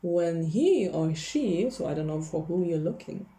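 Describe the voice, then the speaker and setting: Woman, mellow voice, chatty tarot reading, laughs a lot. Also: lowish voice, expressive, unhurried. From Poland. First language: Polish. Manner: chatty, laughs often, gropes for English words. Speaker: tarot reader. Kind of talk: casual tarot reading to camera.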